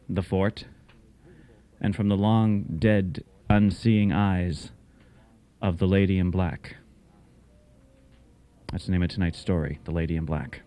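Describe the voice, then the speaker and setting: A man hosting and narrating a scripted radio tale in a measured, literary, dramatic manner. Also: deep voice, warm tone, no room sound. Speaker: host and narrator.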